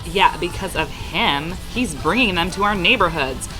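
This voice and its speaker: A woman's voice: on the low side, animated, moderate pace, over music, close to an everyday voice, rough recording. A woman playing an indignant, scolding city resident in a dramatic script read. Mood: complaining. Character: indignant, gruff New York woman, scolding.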